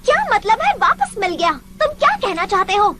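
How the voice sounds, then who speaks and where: A woman voicing an indignant, bossy young creature in an animated film dub, questioning expressively. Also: very high-pitched, fairly quick, background noise. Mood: indignant, suspicious.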